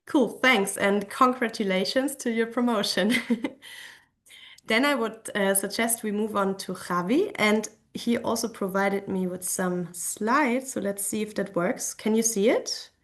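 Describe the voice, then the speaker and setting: A woman's voice: mid-range voice, unhurried. Woman moderating a webinar panel. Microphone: video call.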